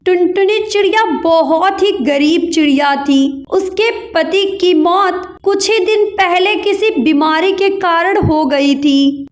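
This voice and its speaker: A woman reading a scripted children's story as its narrator. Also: very high voice.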